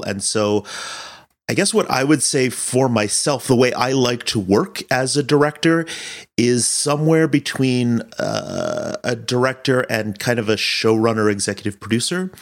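A man talking conversationally and thoughtfully as a director, measured and pausing to choose his words. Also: on the low side. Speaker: audio drama director.

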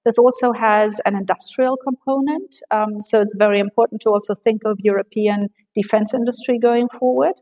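A woman speaking as an expert guest in an interview, answering in a measured, analytical way with frequent fillers and restarts. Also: medium pitch, fairly even intonation, mellow, poor audio.

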